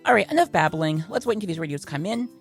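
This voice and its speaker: A woman giving a casual, informal unboxing demonstration as a product reviewer, speaking haltingly with vague, everyday wording. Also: on the low side, animated, faint music behind.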